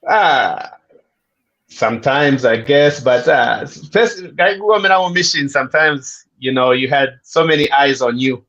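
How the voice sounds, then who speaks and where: Brief: friendly Zambian man in his forties, easygoing storyteller, mid-pitched voice, dull lo-fi sound. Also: a little slow. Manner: relaxed, friendly storytelling with asides. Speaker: guest. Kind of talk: casual interview chat.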